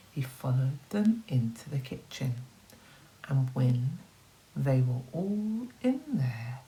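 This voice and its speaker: A woman's voice: very deep voice, creaky, dark tone, rough recording. A woman teacher reading a children's story expressively, with dramatic emphasis and questions put to her young listeners. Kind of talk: animated children's storytelling with asides.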